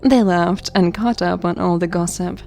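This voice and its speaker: A woman narrates a scripted audio story. Her voice sits a little low and is dark and relaxed. She tells it as the narrator. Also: normal speed, gravelly, faint music behind.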